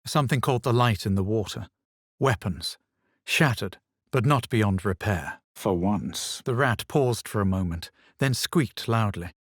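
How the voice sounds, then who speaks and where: A man voicing a haughty, self-assured fantasy adventurer in a video game, measured and superior in manner. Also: fairly low pitch, dry recording, clean studio sound. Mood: brooding, composed. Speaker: video game player character. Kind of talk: scripted game voice lines, narration and spell incantations.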